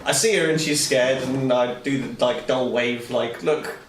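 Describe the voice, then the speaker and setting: A man's voice: mid-range voice, steady tone, unhurried. A man chatting casually as a player in a tabletop game, describing what his character does. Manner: informal, narrates his character's actions. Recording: echoey, slightly distant mic, background noise.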